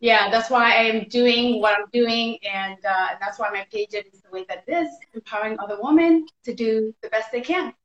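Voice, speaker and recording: Casting: bright, sharp-toned American woman in her thirties, chatty guest, echoey room, dull lo-fi call sound. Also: a little high, moderate pace, few pauses. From United States. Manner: chatty, many fillers like um. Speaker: guest, fitness entrepreneur. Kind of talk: casual interview over a video call. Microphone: video call.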